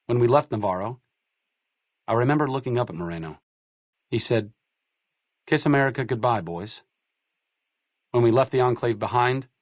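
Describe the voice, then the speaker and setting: A man's voice: on the low side, dry recording, studio quality. An actor playing a wry, bookish, idealistic male doctor in a post-apocalyptic video game, articulate and dryly witty. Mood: somber, reflective. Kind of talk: video game companion dialogue.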